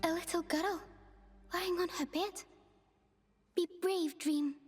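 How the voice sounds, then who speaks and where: A woman's voice: very high-pitched, fairly even intonation, slow. A woman voicing a shy, anxious young female dream fawn in a video game, sweet and wistful, with a timid, hesitant, apologetic way of speaking. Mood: dreamy, wistful. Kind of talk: video game character voicelines. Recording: game voice clips over music.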